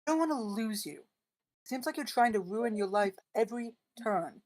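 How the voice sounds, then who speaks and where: A woman's voice: a little high, expressive, moderate pace, a little mellow, close to an everyday voice. A woman playing a blunt, wary survivor who wants to flee, in an emphatic, colloquial screenplay table read. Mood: exasperated pleading. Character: blunt, wary armed partner, pleading to flee.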